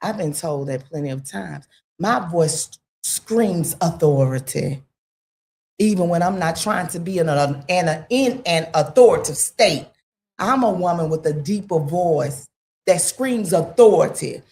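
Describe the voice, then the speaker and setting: A woman in a casual talk-radio discussion, rambling and emphatic, full of "you know" and sudden jumps in pitch. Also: lively intonation, a little slow, darkish tone.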